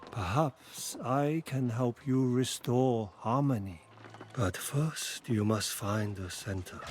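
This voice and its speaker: An actor playing a calm, wise meditation master in a video game cutscene, speaking in a measured, serene way. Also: male voice, medium pitch, natural voice.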